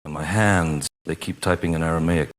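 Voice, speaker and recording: A man's voice: very deep voice, fairly even intonation, normal speed. A man playing a deranged, world-weary gonzo journalist, ominous and darkly sardonic, in a comic radio play. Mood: frayed, sardonic. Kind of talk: comedic radio play monologue.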